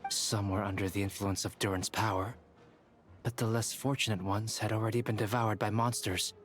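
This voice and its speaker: A man voicing a composed, clinical young alchemist in a video game, measured and formal. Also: fairly low pitch, close to an everyday voice. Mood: grave explaining. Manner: measured, matter-of-fact, formal. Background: game music.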